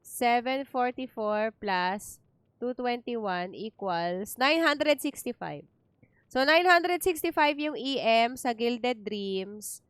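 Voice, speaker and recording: A woman's voice: a little high, expressive, takes it slowly, smooth, no echo. A woman with a Filipino accent chatting casually as she streams a game, informal and thinking aloud. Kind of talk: casual livestream chat and game commentary. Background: faint game music.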